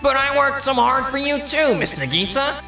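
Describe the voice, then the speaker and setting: A bright, forceful young office hotshot acted by a man, prideful and whiny. His pitch leaps around as he insists on his own greatness in a comic manga drama. Music plays underneath. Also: animated, normal speed, creaky, heavily acted. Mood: pleading. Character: cocky, prideful young male salesman, quick to deny his faults. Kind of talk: anime-style dubbed dialogue.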